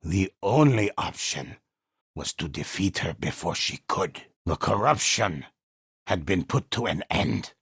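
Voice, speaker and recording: Very husky, gravelly old storyteller. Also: male voice, low-pitched, expressive, unhurried, a bit bright, dry recording, clean studio sound, full character voice. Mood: solemn, ominous. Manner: solemn, ominous, theatrical. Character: old male storyteller narrating dark ghostly legends, grave and dramatic. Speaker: narrator. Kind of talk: dramatic scripted storytelling narration for a video game.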